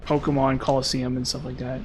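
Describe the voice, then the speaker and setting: Flat-voiced young man, slightly hoarse. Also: medium pitch, brisk, a little hiss or hum. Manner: rambling, lots of "like" and "um". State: slightly hoarse from long talking. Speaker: streamer. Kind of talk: casual live-stream chat while gaming.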